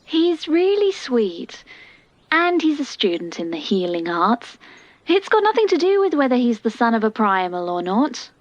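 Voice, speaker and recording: A woman playing a gentle, expressive young woman in a fantasy audio drama. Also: fairly high pitch, no echo. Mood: defensive, curious. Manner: expressive, measured. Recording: dull, dry close recording.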